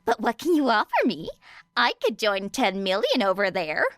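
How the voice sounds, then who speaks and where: A woman voicing an excitable, boastful teenage girl inventor in an audiobook, exuberant and dramatic. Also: medium pitch, moderate pace, dry recording, studio quality, natural voice. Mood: sly, challenging.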